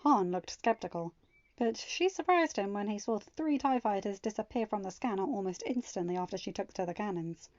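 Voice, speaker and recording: A woman narrating a fan story in a steady reading voice, then chatting casually to listeners. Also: mid-range voice, a little fast, no echo, muffled recording. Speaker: fanfiction audiobook reader.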